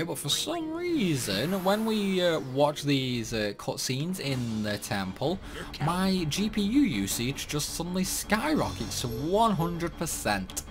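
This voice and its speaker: A man giving casual, rambling commentary while playing a video game. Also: fairly high pitch. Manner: chatty, rambling, animated. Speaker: gaming YouTuber.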